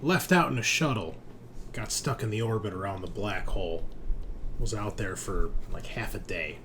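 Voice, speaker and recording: Man plays embittered sci-fi prisoner woman, ex-boss, profane, halting, low register, slightly noisy recording. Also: natural voice. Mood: bitter, resentful. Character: bitter, foul-mouthed female former space station manager, now prisoner. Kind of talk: tabletop game role-play.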